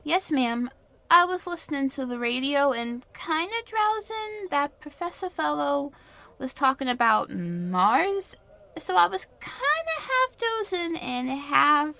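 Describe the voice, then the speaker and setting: High, folksy farm woman. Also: sing-song, slow, gravelly, pushed and bright, heavily acted. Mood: rambling, drowsy. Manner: rustic, rambling, folksy speech. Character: folksy, rambling farm woman, unruffled and chatty. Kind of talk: 1930s radio play acting.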